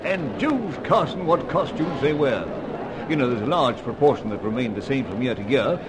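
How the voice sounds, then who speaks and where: An actor playing a courteous but firm upper-class spymaster with a British accent, formal and exclamatory in old radio serial style. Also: male voice, medium pitch, lively intonation, moderate pace, noisy background, lightly acted. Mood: conversational. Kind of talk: old-time radio adventure serial acting.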